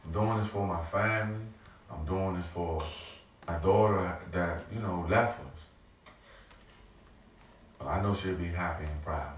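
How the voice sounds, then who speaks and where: A parent, a man speaking in a solemn, measured way. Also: low voice. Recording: echoey, distant, faint noise.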